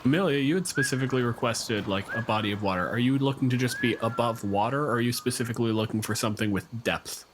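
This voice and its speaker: A man's voice: medium pitch, fast, hardly any pauses, background noise. A man acting as game master, casually narrating a tabletop game in a descriptive, unscripted way. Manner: descriptive, casual, swears freely.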